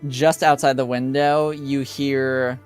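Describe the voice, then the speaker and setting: A man improvising tabletop game narration as game master, speaking casually and haltingly. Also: medium pitch, little pitch movement, soft background music.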